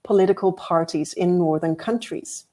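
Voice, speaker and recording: A woman's voice: fairly low pitch, moderate pace, mellow. A woman from Denmark giving a frank, structured keynote talk with light humor, over a video call. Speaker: award-winning speaker.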